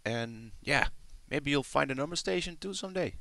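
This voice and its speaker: A man's voice: on the high side, expressive, moderate pace, vocal fry, bright, forceful tone, no room sound. A man giving casual, rambling, self-deprecating commentary as a YouTuber over a screen recording.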